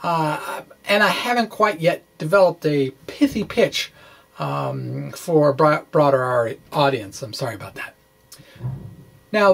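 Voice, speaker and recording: A man giving an informal explanatory lecture in a chatty, folksy way, with wry asides and a halting delivery. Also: a little high. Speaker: physics blogger presenting a lesson. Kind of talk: informal explanatory lecture, dramatic blog reading.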